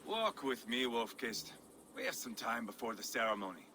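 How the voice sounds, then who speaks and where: A bloodthirsty mocking viking for a game, voice swings all over, ambient noise behind. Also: male voice, medium pitch, very animated, normal speed, bright, forceful tone. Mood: boastful, intense. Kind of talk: dramatic video game acting.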